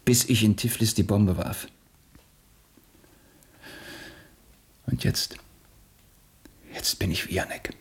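An earnest revolutionary burdened by guilt in a radio play. His voice is very raspy and creaky, low, and solemnly deliberate. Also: full character voice. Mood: tormented resolve. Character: earnest, guilt-ridden male revolutionary set on sacrifice.

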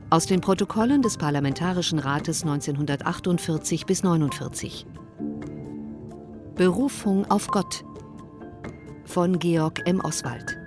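Low female radio announcer over music. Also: a little mellow. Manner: neutral, formal. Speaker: radio announcer reading closing credits. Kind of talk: scripted credits announcement.

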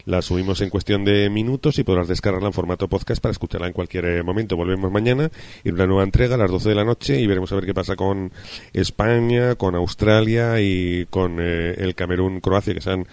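Low-voiced Spanish man hosting radio chat. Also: fairly quick, darkish tone, no echo. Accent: Spain. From Spain. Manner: effusive, colloquial, chatty. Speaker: host of a radio football discussion.

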